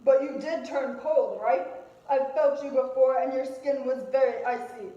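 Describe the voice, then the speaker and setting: A woman's voice: high voice, steady tone, a little slow, mellow. A woman playing an indignant, self-righteous accuser who presses insistently in an amateur puppet-show trial drama. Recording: very echoey room, dull sound.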